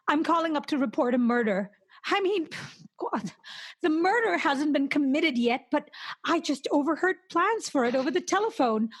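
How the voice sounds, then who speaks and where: A woman playing an anxious, demanding married invalid in a 1940s-style radio play, insistent and halting as she frets. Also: high voice. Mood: anxious, indignant. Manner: fretful, insistent, halting with repeated words.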